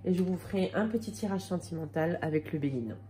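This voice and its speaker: A woman's voice: fairly low pitch, expressive, a little fast, dark tone, slight background noise. A woman with a slight cold giving a casual tarot reading to her viewers, talking in a hesitant way with many fillers and restarts. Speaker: tarot card reader.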